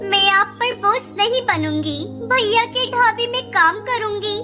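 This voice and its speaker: A woman voicing a gentle, earnest female cartoon bird, a young mother who speaks politely and expressively. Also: very high-pitched, measured pace, over music. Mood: sad, pleading. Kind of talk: Hindi cartoon moral-story dialogue.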